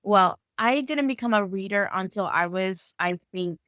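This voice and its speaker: A woman's voice: mid-range voice, moderate pace, a bit bright, no echo. Venezuelan woman in her 30s, spanish is her first language, chatty storyteller, dry mic. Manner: friendly, storytelling, uneven pace. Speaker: guest author. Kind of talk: casual interview chat.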